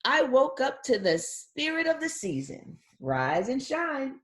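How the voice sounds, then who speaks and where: A woman's voice: high voice, very animated, slow pace, a bit bright, pitched above the performer's own voice, full character voice. A woman voicing a joyful little girl from a Christmas storybook, drawing out her words and singsonging the sound effects.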